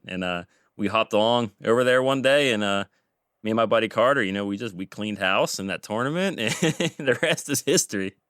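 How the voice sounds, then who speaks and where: Man, 30s, podcast co-host; low, chatty, easy laugh. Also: fairly bright tone, clear, resonant tone, no room sound, studio quality. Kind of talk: casual chat.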